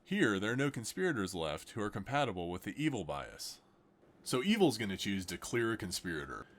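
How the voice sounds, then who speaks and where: A man's voice: on the low side, big pitch swings, a little fast, fairly bright tone. A man explaining the rules of a card game in a scripted tutorial, plainly at first and then with jokey asides. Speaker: game demonstrator.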